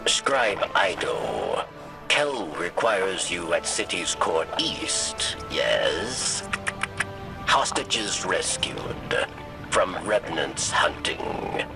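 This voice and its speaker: An actor playing an old, weary, sly insect-like alien scribe in a video game, rasping and halting, with questioning tags. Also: male voice, sits low, sing-song, music in the background, full character voice. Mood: formal urging. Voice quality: gravelly, rasping. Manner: halting, third-person speech, questioning "yes?" tags. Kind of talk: dramatic video game acting.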